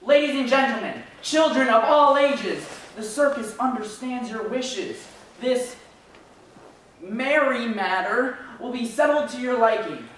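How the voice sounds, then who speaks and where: A man's voice: very high voice, unhurried, fairly bright tone. A man playing a slick, calculating circus owner in a theatrical stage monologue, with grand, declamatory delivery. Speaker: actor in a student readers theater group. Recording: echoey hall, distant mic, faint noise.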